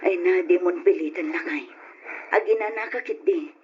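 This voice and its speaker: A woman's voice: fairly low pitch, expressive, moderate pace, natural voice. An actress playing a caring, fretful older rural wife and mother, fussing and coaxing in an Ilocano radio drama. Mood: concerned, caring. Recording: lo-fi old radio, background hiss.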